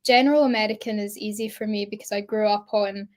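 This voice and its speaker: A young Scottish actress chatting in an interview, bubbly and animated, laughing often as she tells stories. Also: mid-range voice, fast. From Scotland. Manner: bubbly, giggly, animated storytelling. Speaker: actress guest. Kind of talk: casual interview chat.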